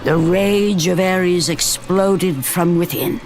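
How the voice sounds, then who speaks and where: A woman playing a grave, knowing storyteller, narrating a video game myth in a measured, portentous manner. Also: on the low side, a little mellow, faint music behind. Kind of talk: dramatic scripted game narration.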